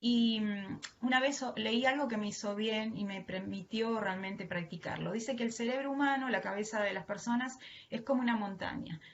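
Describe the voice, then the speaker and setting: A woman preacher with an Argentine accent and a fairly high voice delivers an online devotional. She speaks earnestly, addressing her listeners with affection. The audio is dull and lo-fi, with faint noise underneath. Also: moderate pace. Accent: Argentine Spanish. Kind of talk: devotional sermon to an online audience.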